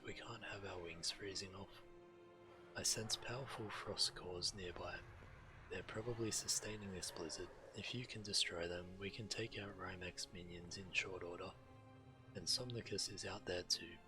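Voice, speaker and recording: A solemn male dragon commander as acted by a man in a fantasy video game. He speaks very low, earnest and measured but urgent, rallying allies over a clear musical score. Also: fairly even intonation, music in the background, close to an everyday voice. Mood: urgent resolve. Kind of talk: dramatic video game dialogue.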